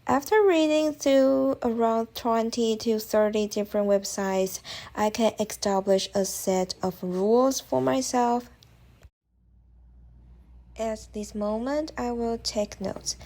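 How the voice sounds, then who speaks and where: A woman's voice: a little high, fairly even intonation, slow, dark tone. Woman with a Chinese first language, careful tutorial voice. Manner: careful, slightly non-native English phrasing. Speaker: language-learning vlogger. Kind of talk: scripted voice-over tutorial.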